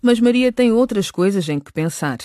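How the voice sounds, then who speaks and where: Male radio drama narrator, higher-pitched, scripted storytelling delivery, close dry recording. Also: expressive, moderate pace, warm tone, dry recording.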